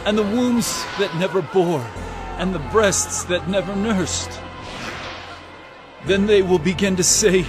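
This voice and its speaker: An actor playing a suffering, compassionate holy man with solemn, fervent delivery in a biblical audio drama. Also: sits high. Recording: prominent music bed.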